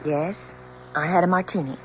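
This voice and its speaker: An actress playing an anguished, truthful young woman in an old-time radio drama, speaking in a halting, earnest, emotional way. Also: lowish voice, fairly even intonation, natural voice. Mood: timid, reluctant. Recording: muffled, noisy old radio drama recording.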